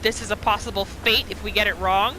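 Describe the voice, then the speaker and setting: A woman hosting a gaming video, giving casual playthrough commentary in an expressive, exclamatory way. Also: mid-range voice, fairly quick, slight background noise, poor audio. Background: game music.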